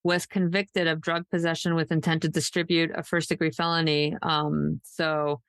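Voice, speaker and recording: A woman's voice: low voice, flat delivery, normal speed, dry recording, clean studio sound. A woman hosting a true crime podcast in a casual, loosely unscripted, conversational way. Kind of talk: casual co-hosted podcast chat.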